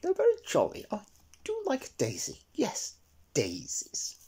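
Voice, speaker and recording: Man doing a high, breathy, twitchy lady rabbit. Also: wildly swooping pitch, a little slow, dark tone, whispered, full character voice. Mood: flustered, delighted. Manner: hesitant, repeated little oohs and ums. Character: twitchy, eager, sweet female rabbit.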